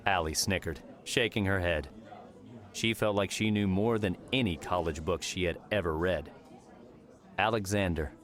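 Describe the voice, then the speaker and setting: A man narrating a novel as an audiobook, in a measured storytelling manner. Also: deep voice. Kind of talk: scripted fiction narration.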